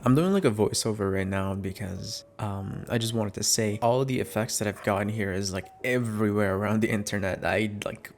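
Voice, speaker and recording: A man's voice: lowish voice, fairly quick, hardly any pauses, noisy background. A man with Tagalog as his first language, chatting casually and candidly as an audio creator answering fan questions, playful and free with swearing. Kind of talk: casual Q&A chat.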